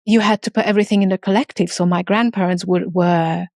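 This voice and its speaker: A woman from Romania, talking earnestly as a guest in a podcast interview. Her voice sits a little low and has a gravelly fry, and she stops and restarts her sentences often. The sound is dry and close-miked. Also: steady tone, a little mellow, no echo. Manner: earnest, halting with many restarts. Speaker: guest entrepreneur. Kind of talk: casual interview talk.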